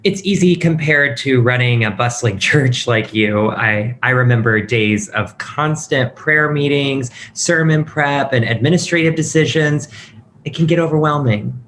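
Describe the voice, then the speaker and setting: A man's voice: mid-range voice, unhurried, fairly bright tone, lightly acted. An actor with a male-sounding voice playing a frank, chatty transgender woman who used to be a pastor, in a script table read. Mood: candid, warm. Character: transgender woman, former Anglican pastor, warm, frank, self-assured. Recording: audible background noise.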